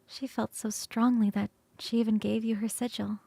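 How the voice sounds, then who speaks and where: A woman voicing an ancient succubus queen for ASMR roleplay, tender and teasing, speaking slowly and intimately, often near a whisper. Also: fairly high pitch, level tone, creaky, dark tone, husky, no echo.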